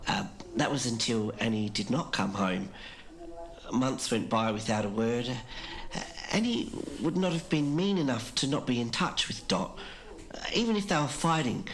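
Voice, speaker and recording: A woman playing a frank, irreverent woman, reminiscing haltingly in a podcast interview. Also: rumbling low, animated. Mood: hesitant, sombre. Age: 90s. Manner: blunt, hesitant recollection with frequent pauses. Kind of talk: interview-style podcast drama. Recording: clearly noisy interview recording.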